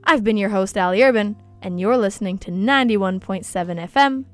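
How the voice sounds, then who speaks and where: A young woman whose first language is Polish hosts a relaxed radio chat, bubbly and talkative, her stories animated and full of energy. Her voice sits at an ordinary middle pitch, with soft music playing faintly underneath. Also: brisk. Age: young adult. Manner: bubbly, chatty, animated storytelling. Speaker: radio show host. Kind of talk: casual chat. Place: college radio studio.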